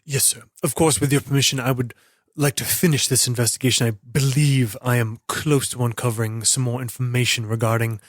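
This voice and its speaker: A man's voice: mid-range voice, flat delivery, brisk, dry recording, studio quality, lightly acted. A man playing a dutiful military lieutenant in a tabletop game, formal and deferential in manner. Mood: stiffly deferential.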